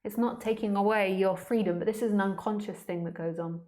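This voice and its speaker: A woman's voice: medium pitch, fairly even intonation, fairly quick, a little mellow. A woman speaking as a spiritual teacher in an informal question-and-answer talk, candid, plain-spoken and conversational.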